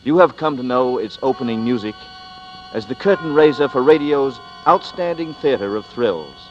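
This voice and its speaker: A man hosting a radio drama, giving a measured, conversational scripted introduction. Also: medium pitch, animated, faint music behind. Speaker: host and star. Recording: dull vintage radio recording.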